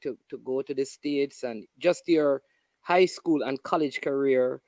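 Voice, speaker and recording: A man hosting a casual sports interview, asking eager questions with quick interjections. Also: medium pitch, darkish tone, no room sound. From Jamaica. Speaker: show host.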